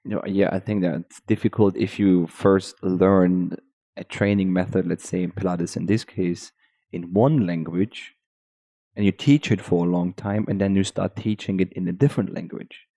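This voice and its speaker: A man with a German accent who speaks German natively, talking as a guest Pilates teacher in a halting way with restarts. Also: low voice, steady tone, mellow. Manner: halting, restarts while searching for words. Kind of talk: casual podcast conversation.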